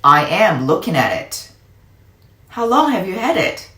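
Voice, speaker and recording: Storybook uncle read by a woman: disciplined military man, serious mentor, measured pace, firm emphasis, echoey room. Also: on the low side, sing-song, pushed and bright, voice pushed up, full character voice. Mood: probing. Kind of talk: storybook character voice.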